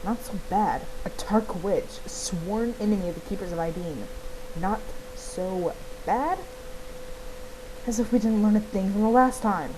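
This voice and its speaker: A woman's voice: mid-range voice, a little slow, natural voice. A woman voicing a stern, indignant male druid from a fantasy game, formal and accusatory, on a noisy recording. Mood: scornful.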